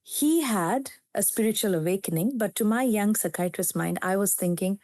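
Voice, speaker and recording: A woman in her fifties with an Indian English accent, her first language Telugu, speaks as a psychiatrist guest. She tells her story in an earnest, reflective way. Her voice sits at a middling pitch and sounds dry and close, with no room echo. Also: normal speed, a little mellow. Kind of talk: storytelling in a podcast interview.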